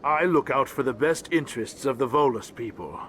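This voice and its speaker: A man's voice: mid-range voice, a little fast, fairly bright tone, slight background noise, lightly acted. A man voicing a small, grumpy, aggrieved alien diplomat in a video game, curt and world-weary. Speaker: ambassador. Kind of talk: video game character dialogue.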